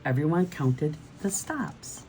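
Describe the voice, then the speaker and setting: A woman's voice: low-pitched, sing-song, slow pace, background noise. A woman reading a children's storybook aloud as host, encouraging and turning to her young listeners with questions.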